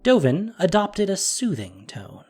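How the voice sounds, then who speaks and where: A man narrating a scripted fantasy story in an expressive, dramatic way. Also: sits high, unhurried, faint music behind.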